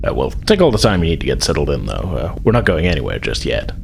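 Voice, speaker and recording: A man playing a courteous, busy starship captain in a tabletop game, speaking politely and haltingly with mild authority. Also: very deep voice, big pitch swings, gravelly, faint music behind, pitched below the performer's own voice, heavily acted. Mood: polite, businesslike.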